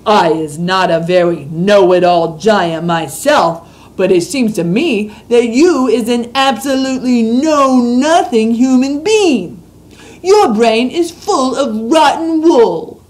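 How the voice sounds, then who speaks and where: Booming, slow, grandly muddled old giant, read by a woman, loud and lively in pitch.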